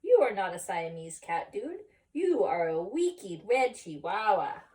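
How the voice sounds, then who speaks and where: A woman voicing an excitable, boastful little boy kitten who believes he is a chihuahua, in a storybook reading. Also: medium pitch, measured pace. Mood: bold. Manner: exclamatory, playful, dramatic.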